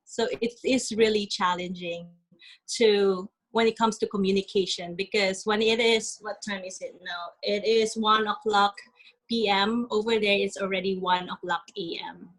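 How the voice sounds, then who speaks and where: A woman from the Philippines, giving an earnest talk on a video call, often pausing with "um". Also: mid-range voice, poor audio. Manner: earnest, halting with many ums. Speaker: guest speaker. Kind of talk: webinar presentation.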